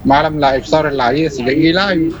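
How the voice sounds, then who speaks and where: A man's voice: on the high side, fairly quick, crowd noise. Man calling in, Makassar Indonesian accent. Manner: relaxed, rambling, joking. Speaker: radio caller. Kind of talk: casual phone-in chat.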